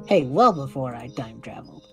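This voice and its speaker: Woman voicing an easygoing young man, very low, mellow. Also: animated, moderate pace, creaky, faint music behind, heavily acted. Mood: playful, casual. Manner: breezy, reassuring, unworried. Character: Trevor, easygoing young time traveler man. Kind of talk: conversational audio drama acting.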